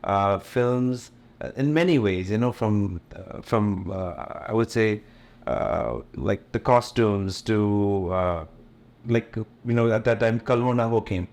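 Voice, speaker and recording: A man with a lowish voice talks in a relaxed, unhurried way, sliding between American English and Hindi picked up from films. His first language is Gujarati, and his talk is loose and full of fillers. He sounds middle-aged. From USA, born in India. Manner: relaxed, mixes English with Hindi, frequent fillers and light laughs. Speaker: event promoter, interview guest. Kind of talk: casual interview conversation.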